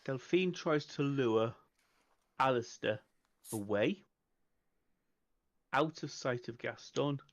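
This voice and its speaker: A man's voice: mid-range voice, slow, pauses often. A man playing a tabletop roleplaying game, talking tactics casually with the group, with slightly muffled sound.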